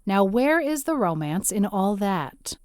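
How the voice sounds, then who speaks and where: A woman playing a stern, practical older guardian in an audiobook drama, crisp, dry and skeptical. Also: medium pitch, sing-song, unhurried, darkish tone, no room sound.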